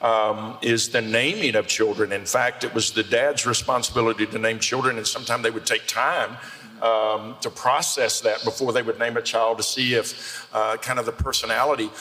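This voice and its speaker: A man's voice: medium pitch, fairly even intonation, moderate pace, bright, forceful tone, long reverb tail, poor audio. A man interviewing a guest, leading him with questions and summing up his answers. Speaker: interviewer and author. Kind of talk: conversational interview.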